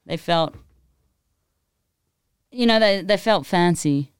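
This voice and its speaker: A young Australian woman with a smooth, clear voice, recorded close and dry. She talks in giggly, animated, self-deprecating bursts, like a guest on a casual podcast. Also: on the low side, takes it slowly, no echo. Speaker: guest music producer.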